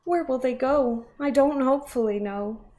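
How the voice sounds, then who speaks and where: Woman putting on a croaky scolding little forest creature. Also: high-pitched, normal speed, voice pushed up, natural voice. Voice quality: coughing, croaky. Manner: loud and scolding. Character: grumpy small male forest creature, scolding.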